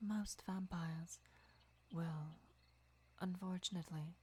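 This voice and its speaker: A woman playing a gentle, devoted vampire lover who teaches patiently in a breathy, measured whisper for an ASMR roleplay. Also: lowish voice, full character voice. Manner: measured, deliberate, soft-spoken explaining.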